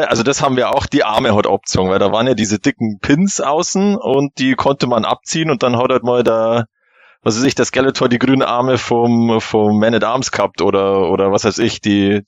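A male podcast panelist reminisces in an easy conversational style. His pitch is middling for a man. The recording is dry, without audible room. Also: moderate pace, no room sound, highs cut off. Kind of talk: casual reminiscing chat.

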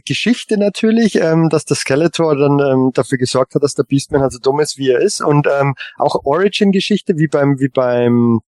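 Halting, slightly high-voiced man in dry sound. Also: expressive, a little mellow, no room sound. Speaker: podcast panelist. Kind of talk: casual fan discussion.

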